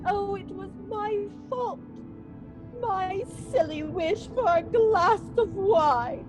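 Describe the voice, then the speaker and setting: An actress playing a sweet, demure young widow and bride in old-time radio melodrama style. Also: female voice, extremely high pitch, slow, music in the background. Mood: grieving, distraught.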